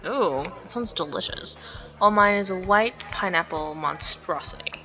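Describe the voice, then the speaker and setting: A woman reading a visual novel aloud in an expressive, theatrical way, with casual reactions as a let's play streamer. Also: on the low side, moderate pace, dull audio, soft background music.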